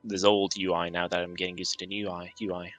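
Bright-voiced man, calm. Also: sits low, rapid-fire, soft background music. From Norway. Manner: calm, explanatory, dry quips. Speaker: streamer co-host. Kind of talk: casual gameplay chat. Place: small home room.

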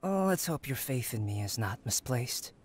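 A man voicing a calm, analytical young scholar in a game, speaking in a measured, formal way. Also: medium pitch, slight background noise, lightly acted. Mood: composed, analytical. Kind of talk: game voice acting.

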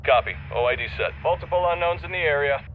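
A man's voice: fairly high pitch, fast, phone-quality sound, a little hiss or hum, close to an everyday voice. An actor playing a focused gunship crewman calling out targets in clipped, procedural military radio language.

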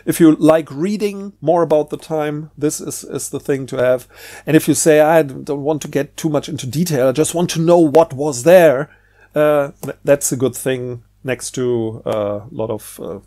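Mellow-voiced man casually reviewing books. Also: fairly high pitch, moderate pace. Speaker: book reviewer. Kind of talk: casual, unscripted book review.

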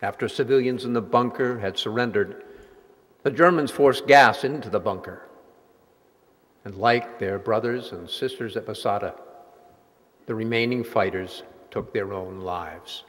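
A man's voice: on the low side, a little slow, fairly bright tone. Man as grave narrator in reverberant hall. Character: grave male narrator of wartime history. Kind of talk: solemn scripted narration.

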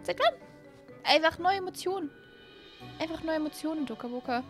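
Young gamer girl chatting over a game, really high voice, excitable, bit of music in the back. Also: brisk. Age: young adult. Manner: animated, excitable, exclaiming. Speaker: gaming video host. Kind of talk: casual let's-play commentary. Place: small indoor streaming room. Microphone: close desk microphone on an arm. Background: faint music.

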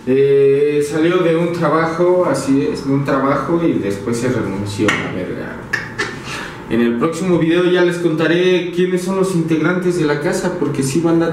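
A young man with a Mexican Spanish accent, chatty and full of slang as he addresses his viewers. His voice sits a little high, picked up by a camera held some distance away. The small rooms add a noticeable echo. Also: a little hiss or hum. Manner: slangy, energetic, talks to viewers as "banda". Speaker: vlogger. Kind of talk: casual house tour vlog. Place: small apartment, indoors. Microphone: handheld camera mic. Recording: echoey room, a bit off-mic.

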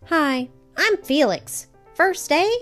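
Woman playing an emphatic, high-voiced young schoolboy. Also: expressive, slow, a bit bright, faint music behind, heavily acted. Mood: bright, proud. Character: young schoolboy, big brother, expressive and earnest. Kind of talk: children's storybook read-aloud.

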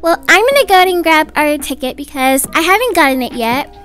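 A young woman narrating an animated roleplay story as a brisk, slightly exasperated mother. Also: high voice, loud music behind the voice. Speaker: storyteller voicing a roleplay video.

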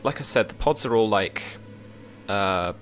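A young man with a low, bright-edged voice improvises narration as a game master. He describes scenes casually and haltingly, picking his way through details, with music underneath. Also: animated. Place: indoor room, remote session.

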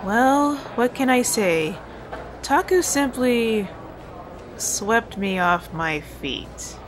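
A woman's voice: a little high, expressive, extremely slow, noisy background. A woman playing a flustered, easily irritated young assistant in an audio drama. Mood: flustered, polite. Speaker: voice actor.

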